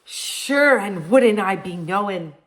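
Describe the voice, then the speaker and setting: A man's voice: sits high, lively intonation, slow pace, slight background noise. A woman voicing a lazy, melodramatic Irish man who whines and wails with self-pity, in an Irish accent. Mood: awed. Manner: whiny, wailing, self-pitying.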